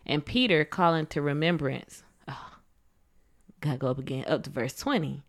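Low-voiced woman co-hosting a faith podcast. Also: expressive, normal speed. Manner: playful, laughs often, reads scripture aloud. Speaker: podcast co-host. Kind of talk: casual faith podcast chat and scripture reading.

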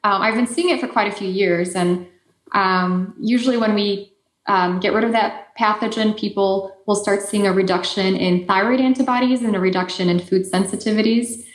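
A woman's voice: medium pitch, moderate pace, roomy sound. A woman with an Eastern European accent, a native Polish speaker, answering interview questions in an explanatory way with frequent fillers and restarts. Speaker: guest expert.

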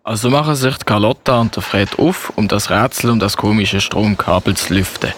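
A man's voice: on the low side, normal speed, slight background noise. A man narrating a radio play story for children.

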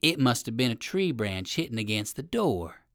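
A storyteller voicing a sly, wicked owl with slow, drawn-out, sarcastic menace in a children's storybook. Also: medium pitch, sing-song, no echo, clean studio sound. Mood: brusque, expectant.

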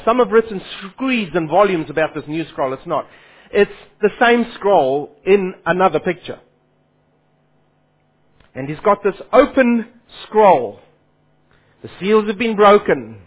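A man's voice: high voice, slightly rough. A man preaching a Bible sermon, his Afrikaans, who poses questions to his listeners and then answers them. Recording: phone-like lo-fi sound.

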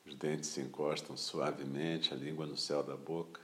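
Meditation teacher, male, Brazilian Portuguese accent, extremely low voice, calm instruction in short, spaced phrases. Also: moderate pace. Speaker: meditation teacher leading a guided practice.

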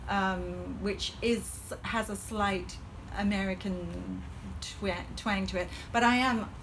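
A middle-aged woman speaks with a Hong Kong colonial English accent, hesitating often and breaking into laughter. Her voice sits at a medium pitch. A faint hum of ambience lies behind her. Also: steady tone, slow, fairly bright tone, poor audio. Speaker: vascular surgeon, guest. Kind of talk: podcast interview.

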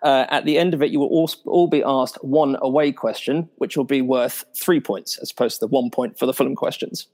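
A man's voice: medium pitch, steady tone, a little fast, few pauses, darkish tone. A man hosting a podcast quiz show, joking and reading introductions with some fillers.

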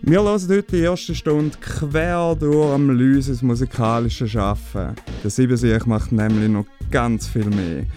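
Male music radio host, Swiss German accent, casual, slow-paced, music underneath. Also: mid-range voice, warm tone. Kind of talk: casual music show moderation.

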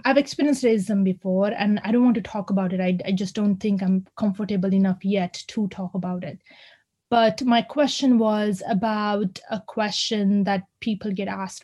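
A woman with an Indian accent talks haltingly at a community discussion, with frequent ums and restarts. Her voice is mellow and soft-edged, and her pitch sits in a middling range. She speaks as a university lecturer sharing her thoughts. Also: fairly even intonation. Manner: hesitant, many fillers and restarts. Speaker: university lecturer, audience member. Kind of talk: unscripted remarks at a community discussion.

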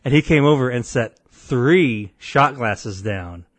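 A deep-voiced man co-hosting a podcast, telling a rambling story full of restarts and quoted voices. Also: animated, takes it slowly, dry recording. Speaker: podcast co-host. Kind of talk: casual podcast banter.

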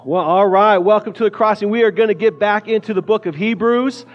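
High-voiced casual male preacher. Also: fairly quick, dull audio. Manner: casual, joking preacher with frequent restarts. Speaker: pastor. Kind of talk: sermon. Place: church sanctuary.

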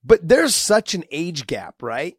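A man's voice: fairly high pitch, big pitch swings, slow, husky, dry recording, studio quality. A man in his forties, a podcast co-host and former fighter, talking casually and rambling, joking and laughing often. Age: 40s.

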